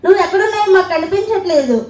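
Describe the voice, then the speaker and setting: A woman's voice: extremely high pitch, lively intonation, rapid-fire, a little mellow. A woman playfully hosting a children's puppet show, coaxing and repeating questions to her young audience. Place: live children's event in a hall. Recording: echoey hall with crowd and faint noise.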